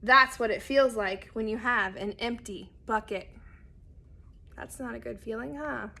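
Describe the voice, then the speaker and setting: A woman reading a children's picture book aloud expressively and deliberately, speaking straight to young listeners. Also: a little high, gravelly, pushed and bright, slight background noise. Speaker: read-aloud storyteller.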